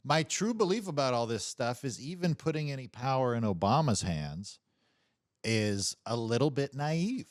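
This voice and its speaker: A man's voice: mid-range voice, very animated, normal speed, dry recording, clean studio sound. A man chatting casually about politics on a livestream, emphatic and exasperated, swearing often.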